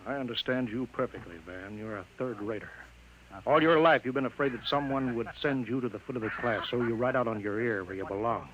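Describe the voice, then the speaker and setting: An actor playing a commanding chief engineer with brisk, assertive authority, in 1950s radio drama style. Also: male voice, mid-range voice, a bit bright, dull audio, close to an everyday voice. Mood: mocking, contemptuous. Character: commanding, mocking male chief engineer in control. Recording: noisy vintage radio broadcast recording.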